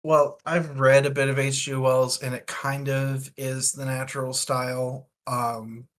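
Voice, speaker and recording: A man's voice: mid-range voice, flat delivery, measured pace. A man talking casually in a book discussion, analytical and wordy, feeling out his phrasing as he goes.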